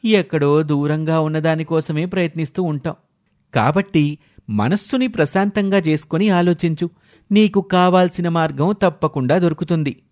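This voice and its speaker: A man voicing a wise, calm temple priest who gives measured, preachy advice in a story. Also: a little high, pitched above the performer's own voice, close to an everyday voice.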